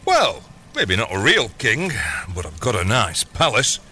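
A bumbling, middle-aged gangster dad in a comic audio drama. His bright voice leaps all over the place as he stammers, dodges and tries to soothe, with a faint noise behind him. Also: medium pitch, wildly swooping pitch, unhurried, heavily acted. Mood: placating, defensive. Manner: hesitant, flustered, placating. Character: bumbling, evasive long-absent dad turned gangster boss. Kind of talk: audio comedy drama acting.

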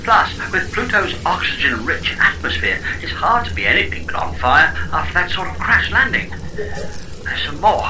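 A woman's voice: on the low side, sing-song, moderate pace, pushed and bright, faint music behind, low-quality recording. A woman playing a cheeky, vain talking engineering pod in a comedic audio drama, flippant and playful. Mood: breezily apologetic. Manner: flippant, attention-seeking, playful.

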